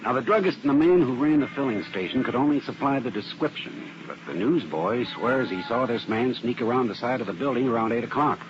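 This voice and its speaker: A man's voice: mid-range voice, lively intonation, a little fast, few pauses, lightly acted. An American actor playing an earnest, methodical male arson specialist in a 1950s radio drama, explaining things with plain-spoken assurance. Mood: reporting. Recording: dull old radio recording with heavy noise.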